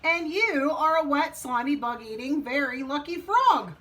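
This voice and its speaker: Woman playing a boastful high-voiced hungry wolf. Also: lively intonation, a little slow, fairly bright tone. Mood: knowing, emphatic. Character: hungry, boastful wolf, fierce yet friendly advisor.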